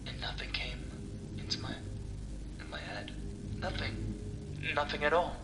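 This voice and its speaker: A man narrating a first-person horror story dramatically, with halting delivery, pauses and breathy asides. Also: medium pitch, lively intonation, noisy background. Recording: echoey sound with music underneath. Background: music.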